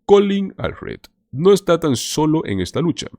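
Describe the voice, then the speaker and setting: A man's voice: fairly high pitch, sing-song, measured pace, warm tone, no room sound, clean studio sound. A man hosting a political commentary show, talking to viewers in an emphatic, scripted way. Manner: emphatic, addresses viewers as "señores".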